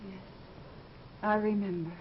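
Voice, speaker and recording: An actress playing a wistful, tender widow in old-time radio drama style, on a muffled vintage recording. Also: female voice, mid-range voice, fairly even intonation, measured pace, slight background noise, lightly acted. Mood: dreamy. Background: loud dramatic music.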